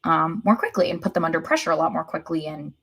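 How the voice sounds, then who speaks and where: A woman's voice: low voice, quick pace, creaky, fairly bright tone, husky. A woman co-hosting a podcast, giving casual sports analysis in a wry, blunt, critical way. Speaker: podcast co-host.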